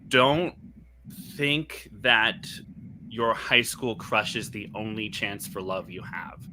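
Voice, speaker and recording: Man, youthful voice, crisp and bright tone, earnest panel chat, faint background noise. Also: lowish voice, slow pace. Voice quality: youthful-sounding voice. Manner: reflective, earnest, with restarts. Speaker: voice actor on a panel.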